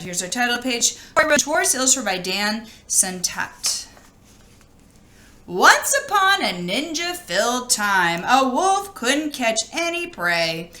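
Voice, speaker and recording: Casting: woman storybook reader, teacherly and expressive, highish bright voice, sing-song rhymes. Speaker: teacher reading aloud to children. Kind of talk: children's storybook read-aloud.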